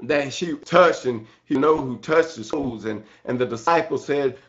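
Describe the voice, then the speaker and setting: A man preaching an excited church sermon in a halting way, repeating phrases and punctuating them with amen and hallelujah. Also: mid-range voice. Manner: excited, fervent. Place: church service. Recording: dull, lo-fi sermon recording.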